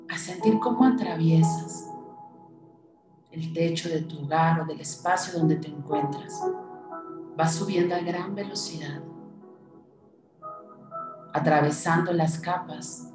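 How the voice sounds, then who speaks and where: A woman leading a guided meditation in a soothing manner, giving short instructions with pauses between them. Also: deep voice, steady tone, reverberant. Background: music.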